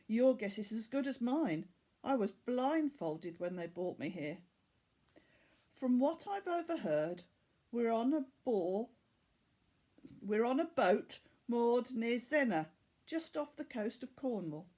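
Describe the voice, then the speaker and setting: Woman voicing earnest, high-pitched captive boy. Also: big pitch swings, takes it slowly, warm tone, full character voice. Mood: explaining. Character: earnest captive boy, kind, urgent, remorseful. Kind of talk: children's audiobook character voice.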